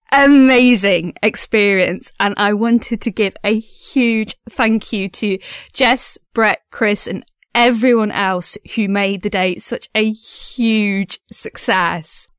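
A woman's voice: fairly high pitch, no echo, clean studio sound. A woman recording a casual personal audio diary as a podcast host, speaking in an earnest, enthusiastic and effusive way.

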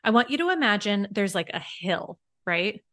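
A woman's voice: mid-range voice, big pitch swings, moderate pace, fairly bright tone, no echo, clean studio sound. A woman financial coach, a podcast guest, who explains things eagerly and step by step with worked examples. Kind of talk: casual podcast interview.

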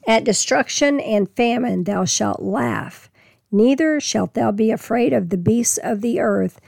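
Woman teaching Bible, gravelly voice. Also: fairly high pitch, a little mellow, no room sound. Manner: folksy, reads scripture slowly then explains plainly. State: losing her voice. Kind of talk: casual Bible teaching with scripture reading.

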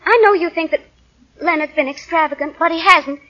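An actress playing an anxious, genteel young wife from the American South in a 1940s radio drama. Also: female voice, very high-pitched, fairly quick, pushed and bright. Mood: anxious, earnest. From Southern United States. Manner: flustered, pleading. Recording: muffled vintage 1940 radio recording.

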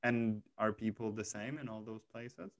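Low-voiced man asking curious questions. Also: fairly even intonation, moderate pace, no room sound, highs cut off. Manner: curious, asks questions with stammered restarts. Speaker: host. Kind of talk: casual interview chat.

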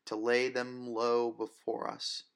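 A man's voice: mid-range voice, completely flat delivery, takes it slowly, creaky, clean tone. A man reading first-person fiction as a dramatic, scripted audiobook narration.

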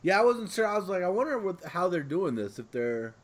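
A man hosting a podcast, chatting casually in an informal, halting way. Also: high-pitched, big pitch swings. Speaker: podcast host. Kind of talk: casual chat.

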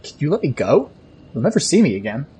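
A man's voice: fairly high pitch, sing-song, fast, dark tone, a little hiss or hum. A man playing a helpless, injured captive in an audio drama, frightened and pleading. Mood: panicked, pleading. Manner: panicked, pleading.

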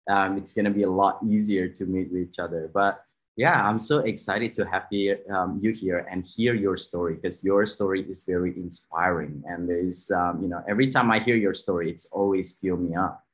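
Low-voiced man hosting a podcast, halting. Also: steady tone. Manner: halting, filler-heavy questions. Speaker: podcast host. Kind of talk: casual interview over video call. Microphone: video call.

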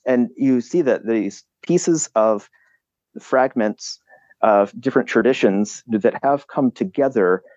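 A man speaking as a podcast guest, explaining ideas in a halting, reflective way with many restarts and fillers. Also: medium pitch, darkish tone, no room sound, lo-fi sound.

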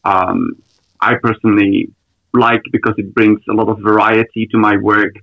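Low-voiced man answering thoughtfully. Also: level tone, normal speed, no room sound, muffled recording. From Czech Republic. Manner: thoughtful, many ums and restarts. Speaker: lawyer, guest. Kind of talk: podcast interview answers.

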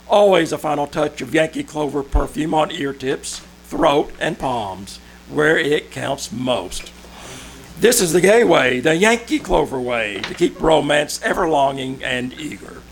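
A man reading a 1940s-style radio commercial as announcer, with deliberate, emphatic salesmanship over faint hum. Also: a little high, creaky.

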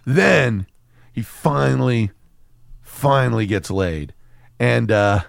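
A man's voice: mid-range voice, takes it slowly, vocal fry, dry recording, studio quality. A man chatting casually as a podcast co-host, rambling through stories with plenty of swearing. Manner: crude, swearing, rambling storytelling. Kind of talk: casual chat about a film.

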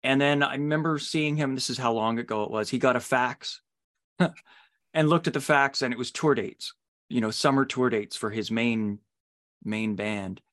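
Middle-aged man with a mid-pitched voice. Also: normal speed, a bit bright. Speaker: podcast host, interviewer. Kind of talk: conversational interviewing.

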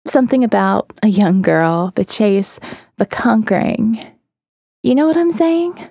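A woman's voice: medium pitch, big pitch swings, measured pace, vocal fry, mellow, no room sound, studio quality, full character voice. A woman voicing a candid, amused and wistful young woman in an audio novel, with a shrugging manner that tends to trail off. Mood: regretful, nostalgic.

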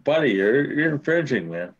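A man co-hosting a casual podcast, trading loud, playful taunts in banter with friends. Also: medium pitch, sing-song, normal speed, highs cut off. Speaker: podcast co-host. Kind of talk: casual chat over video call.

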